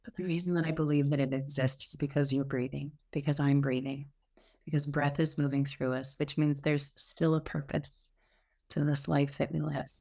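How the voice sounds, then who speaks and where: A woman leading a guided meditation as the teacher, speaking in a gentle, soothing, unhurried way with pauses. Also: low voice, little pitch movement.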